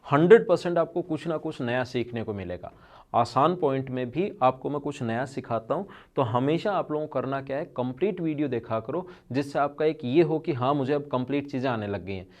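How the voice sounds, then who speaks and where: A man's voice: medium pitch, animated, a little fast, a little mellow. Man teaching maths, strained throat. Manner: earnest, repetitive, addresses students directly. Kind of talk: online video lesson.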